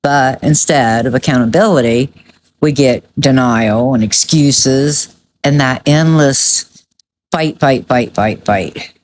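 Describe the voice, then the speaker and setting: A woman's voice: very deep voice, slow pace, a little hiss or hum. A woman delivering conversational true crime commentary in a blunt, emphatic and judgmental way.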